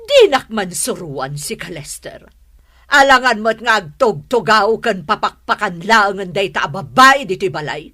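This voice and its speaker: A woman's voice: mid-range voice, expressive, unhurried, pushed and bright. A woman playing a scornful, domineering mother-in-law in a radio drama, indignant and scolding. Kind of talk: serial radio drama acting in Ilocano.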